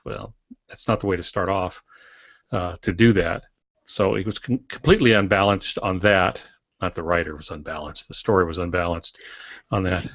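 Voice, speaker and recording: An older man co-hosting a podcast, giving conversational advice in a measured, instructive way with dry humour. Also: low-pitched, fairly bright tone, no room sound. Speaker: podcast co-host. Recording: dry, slightly muffled lo-fi audio.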